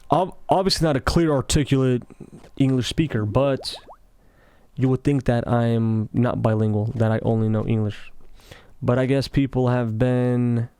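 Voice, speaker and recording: A man's voice: mid-range voice, warm tone, clean tone. A young man with a slight Russian accent, rambling through casual gaming commentary and often breaking off mid-thought. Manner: talkative, meandering, self-interrupting. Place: office.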